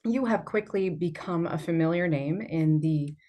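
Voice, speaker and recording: A woman hosting a podcast, opening with a scripted introduction before moving into a gentle, inviting interview. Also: deep voice, moderate pace. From Canada. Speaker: podcast host.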